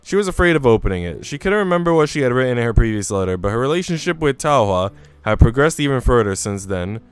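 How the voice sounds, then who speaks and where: A man's voice: medium pitch, very animated, fast talker, smooth. A man reading visual novel narration aloud as a storyteller. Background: soft music.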